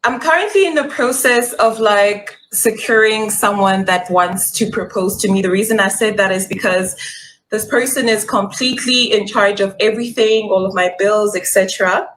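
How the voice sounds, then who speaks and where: A woman's voice: medium pitch, moderate pace, a bit bright, slight background noise, low-quality recording. A young woman from Congo calling in to a live dating show, talking earnestly and listing her situation. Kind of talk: casual call-in chat.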